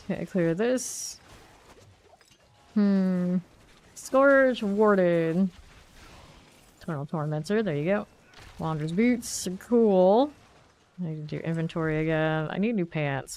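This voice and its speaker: A woman's voice: fairly low pitch, unhurried, long pauses. A woman streaming a game, talking casually to herself as she plays and weighs her choices aloud. She sounds congested and sniffly from a cold. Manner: thinks aloud, chatty and self-questioning. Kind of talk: casual gameplay commentary.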